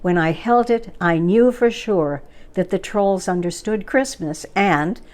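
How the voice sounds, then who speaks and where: A woman reading a children's picture book aloud as a story time reader, with expressive narration and acted-out dialogue. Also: medium pitch. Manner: measured, animated read-aloud with acted dialogue.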